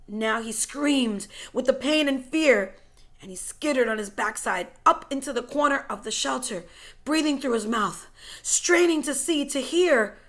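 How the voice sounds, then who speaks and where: A woman reading a story aloud in a dramatic, suspenseful way, moving between hushed whispers and forceful, louder phrases. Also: sits high, a little slow. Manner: suspenseful and expressive, dropping to whispers and rising to loud, forceful phrases. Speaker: teacher reading a book chapter aloud.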